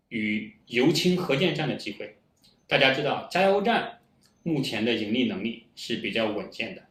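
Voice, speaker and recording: A man's voice: mid-range voice, fairly bright tone. A man giving a prepared presentation in a formal, point-by-point manner. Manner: formal, list-like delivery. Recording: echoey room.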